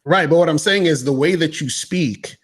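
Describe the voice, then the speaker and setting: A man's voice: fairly high pitch, moderate pace. Insistent man who keeps repeating his point. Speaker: dating coach. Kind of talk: argumentative casual chat.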